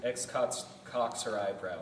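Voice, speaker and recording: A man's voice: medium pitch, unhurried. A man reads scripted narration aloud at a table read. His mid-range voice carries from a distance in an echoey room with some background noise. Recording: echoey room from far off, noisy.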